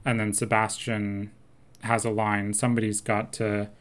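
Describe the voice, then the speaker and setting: A man's voice: lowish voice, monotone, moderate pace. A man co-hosting a podcast, talking through song lyrics in a thoughtful, analytical way and quoting lines with relish. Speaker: podcast co-host. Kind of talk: casual chat.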